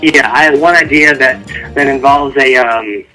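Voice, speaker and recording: A man's voice: medium pitch, normal speed, bright, forceful tone, faint music behind, rough recording. A man speaking as an author guest in a casual interview, rambling and conversational.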